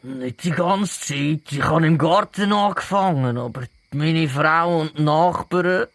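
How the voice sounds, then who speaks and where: A man's voice: a bit bright, no echo. A man with a Swiss German accent voicing an eccentric, giggling feathered bird-man who says cuckoo in a children's audio play. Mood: giggly, unashamed. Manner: slow, drawn-out, breaking into shrill cackles.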